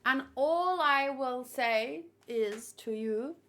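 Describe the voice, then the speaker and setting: A woman's voice: high voice, slow, fairly bright tone. A woman giving informal, opinionated commentary as she hosts a casual live read-along and talks with chat. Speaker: manga read-along host.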